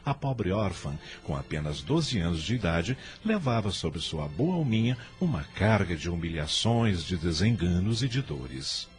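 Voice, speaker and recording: A man's voice: low voice, sing-song, measured pace, few pauses, faint music behind. A man with a Brazilian accent narrating a radio drama from a script in a solemn storytelling delivery.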